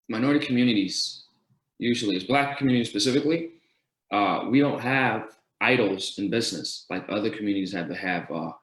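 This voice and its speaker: A man from Denmark, a guest entrepreneur answering town hall questions earnestly, often restarting his sentences and counting off his points. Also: mid-range voice. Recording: roomy echo.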